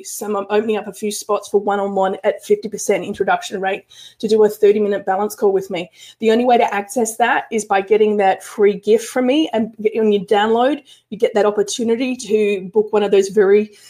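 Woman coach chatting away on a live call, lots of ums and restarts, mid-pitch voice. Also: warm tone. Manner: chatty, frequent restarts and fillers. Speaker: spiritual business coach. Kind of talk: casual live-stream talk to a group.

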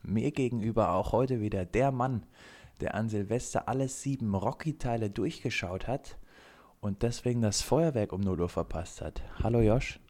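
A young man with a fairly low voice co-hosts a relaxed chat show. He teases his partner and laughs easily, keeping the talk light and conversational. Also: moderate pace. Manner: joking, laughs often. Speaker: podcast co-host. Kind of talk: casual chat.

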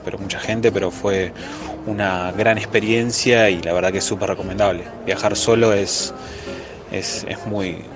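A man who works as a photographer, talking about travel casually and hesitantly, with many fillers and restarts. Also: deep voice. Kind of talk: casual testimonial.

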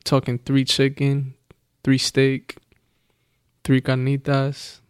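A man's voice: mid-range voice, monotone, slow, smooth, no room sound, studio quality. A man chatting casually as a podcast co-host, laid-back and slangy, laughing often. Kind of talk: casual chat. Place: Los Angeles.

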